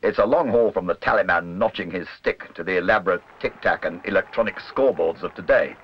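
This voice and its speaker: A man's voice: low voice, fairly quick, breathy edge. A man reading scripted documentary narration. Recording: muffled old film soundtrack with music. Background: music.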